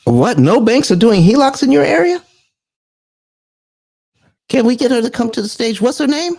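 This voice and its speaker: A man's voice: extremely high pitch, very animated, normal speed, vocal fry, a little mellow. A man hosting a live finance show who talks emphatically, repeats himself and calls the audience folks. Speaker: host.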